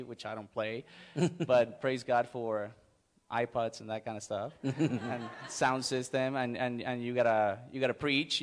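A middle-aged man from Mexico with a Mexican accent, speaking plainly and a little haltingly as a missionary guest in an informal church interview. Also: mid-range voice.

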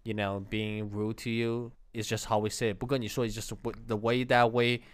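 Relaxed man, mid-pitched, hesitant speech. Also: fairly quick, clean tone. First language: a Chinese dialect other than Mandarin. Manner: relaxed, hesitant English with fillers, chuckles often. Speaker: co-host of a language lesson. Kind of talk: casual bilingual lesson chat.

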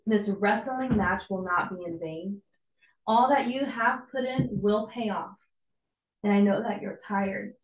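Slightly hoarse young woman preaching. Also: medium pitch, echoey room, lo-fi sound. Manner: encouraging, conversational preaching with casual asides. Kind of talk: devotional sermon.

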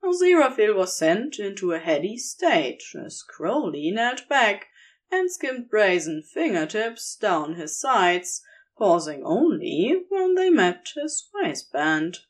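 A woman reading intimate fiction as a narrator, in a measured, expressive storytelling manner. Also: medium pitch. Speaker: audiobook-style podfic narrator.

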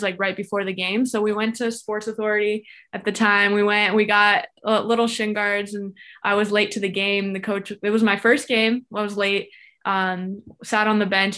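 A woman's voice: mid-range voice, bright, forceful tone. A young woman from Florida, a former soccer player, telling her story in a chatty, filler-heavy way as a guest. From Florida, USA. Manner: chatty, lots of fillers and likes, laughs easily. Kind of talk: casual interview chat.

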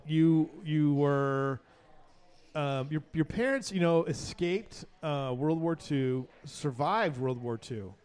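Chatty higher-voiced man hosting a talk show. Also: slow, a little mellow, smooth. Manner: chatty, laughs easily, restarts sentences. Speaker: podcast host. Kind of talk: casual interview chat.

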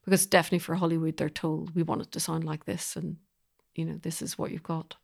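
A woman's voice: sits low, level tone, quick pace, gravelly. A woman speaking as an interview guest, thoughtfully explaining her work as a composer in loose, unfolding sentences. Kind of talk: reflective interview conversation.

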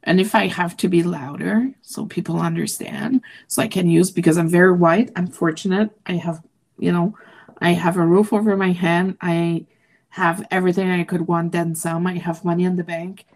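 A woman's voice: steady tone, normal speed, vocal fry, a little mellow. Impassioned woman from Quebec. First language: French. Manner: emphatic, rises to high outraged questions. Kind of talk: impassioned political chat.

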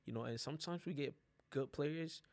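A man from Ghana, a soccer player, talking as a guest in a casual interview with a relaxed, laid-back manner and frequent fillers. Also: fairly high pitch, normal speed, vocal fry, no echo.

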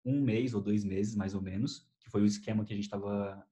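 A young man with a Brazilian accent chatting casually and telling stories in an animated, slangy way. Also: lowish voice, a little fast. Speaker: host. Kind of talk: casual chat, telling memories.

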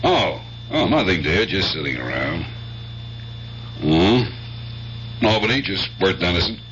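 Deep, bright-edged wisecracking homicide lieutenant. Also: male voice, very animated, takes it slowly, heavily acted. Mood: bored, offhand. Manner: brash, teasing, offhand. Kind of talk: 1970s radio drama acting. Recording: dull, faintly noisy old radio recording.

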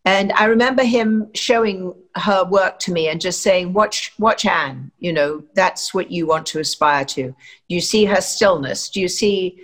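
A woman's voice: lowish voice, a little slow, a bit bright. An older woman from England, an actress, giving chatty, rambling interview answers full of fillers and restarts.

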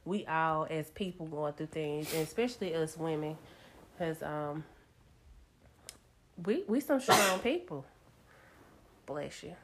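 A woman in her thirties co-hosting a casual talk show, candid and reflective, with a slightly raspy voice today. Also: deep voice, lively intonation, slow, pauses often, background noise. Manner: candid and reflective, many fillers. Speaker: podcast co-host. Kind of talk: casual chat.

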